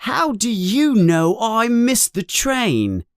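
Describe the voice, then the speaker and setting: A woman's voice: fairly high pitch, big pitch swings, takes it slowly, no room sound, clean studio sound, voice pushed up. A woman voicing a young boy wizard who speaks earnestly and warily. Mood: wary. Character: young boy wizard, brave but wary.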